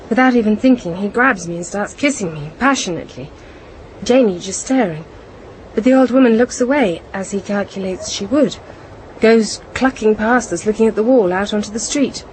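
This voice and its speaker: A woman's voice: medium pitch, darkish tone, slight background noise, lightly acted, low-quality recording. An actress playing a British housewife and mother working as a courier spy in a radio drama, composed but tense, with a British accent. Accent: British English.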